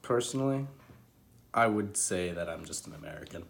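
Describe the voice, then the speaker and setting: A man's voice: low voice, animated, moderate pace, gravelly. A young man from New England answering interview questions in a laid-back way, often starting with "I mean".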